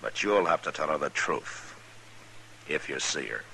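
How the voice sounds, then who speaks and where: An actor playing a steady, plain-spoken frontier marshal in an old-time radio western, firm and reassuring. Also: male voice, rumbling low, measured pace, gravelly, heavily acted, rough recording. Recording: hissy old radio recording.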